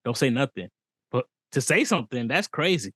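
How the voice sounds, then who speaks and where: A man's voice: medium pitch, big pitch swings, a little fast, fairly bright tone, husky, no room sound. Man co-hosting a podcast, dry close sound. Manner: reactive listener, laughs and exclaims in disbelief. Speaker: podcast co-host. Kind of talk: casual chat.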